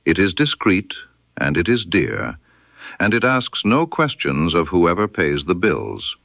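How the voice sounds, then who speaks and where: A man voicing a cool, knowing woman informant in a mystery story, speaking softly and deliberately with guarded amusement. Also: sits low, sing-song, vocal fry, no echo, dull audio, exaggerated voice. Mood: amused, knowing. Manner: soft, deliberate, veiled warnings.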